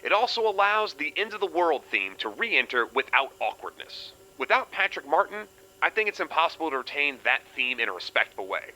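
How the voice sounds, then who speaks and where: A man plays an opinionated male writer reading his notes aloud. The voice is very bright and forceful, with lively swings in pitch and emphatic, articulate phrasing. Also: fairly quick, heavily acted, poor audio. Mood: earnest, opinionated. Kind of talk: acted reading of a letter.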